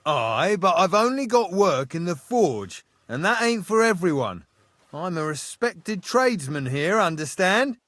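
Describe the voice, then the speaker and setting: Brusque game blacksmith, high animated voice, dry. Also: male voice, measured pace, no room sound, heavily acted. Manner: gruff, brusque. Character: proud, gruff male village blacksmith. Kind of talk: video game voice acting.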